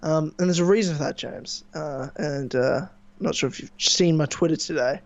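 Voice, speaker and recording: A young man hosting a casual podcast, chatting and rambling excitedly with a sore throat from screaming. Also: a little high, normal speed, creaky. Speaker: podcast host. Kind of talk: casual chat. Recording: dull lo-fi mic.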